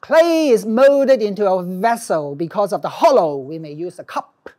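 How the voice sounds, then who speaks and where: A middle-aged man with a Hong Kong accent, lecturing informally as a professor in a classroom, animated and emphatic. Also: sits high, normal speed. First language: Cantonese. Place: classroom with small audience, indoors.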